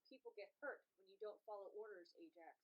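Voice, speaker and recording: A woman's voice: medium pitch, fairly quick, dull audio, close to an everyday voice. A woman playing the bitter, resentful ghost of a young soldier in a play reading. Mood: bitter, vengeful.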